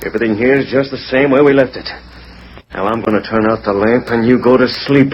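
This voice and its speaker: An actor playing a gruff, exasperated man scolding his nervous partner in a 1940s radio western. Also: mid-range voice, brisk, lightly acted. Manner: scolding, impatient, folksy. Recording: dull, noisy vintage radio recording.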